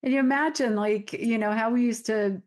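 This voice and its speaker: A woman's voice: fairly high pitch, moderate pace, a little mellow. A woman hosting an interview podcast, chatty and enthusiastic, with lots of little interjections. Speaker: podcast host. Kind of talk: casual interview conversation.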